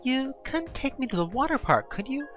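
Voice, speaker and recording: Man putting on a high-pitched young woman's voice. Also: very animated, normal speed, muffled recording, over music. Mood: pleading. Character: young woman heroine, casual and a little needy.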